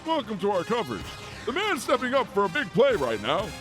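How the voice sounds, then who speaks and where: An actor playing an earnest broadcast announcer delivering mock news and play-by-play in a satirical audio play. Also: male voice, sits high, wildly swooping pitch, a little fast, over music. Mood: excited. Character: earnest male news anchor turned sports play-by-play announcer.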